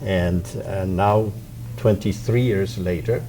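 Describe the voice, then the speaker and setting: A middle-aged man from Poland with a Polish accent, a violin professor answering interview questions in a measured, reflective way with frequent hesitations. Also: low voice, mellow, slight background noise. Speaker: violin professor and orchestra co-founder.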